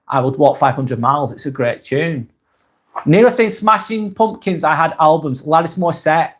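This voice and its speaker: A man from Lancashire with a Lancashire accent, hosting a casual live stream and reading viewer comments aloud in a soft, hesitant way. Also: a little high. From Lancashire, England.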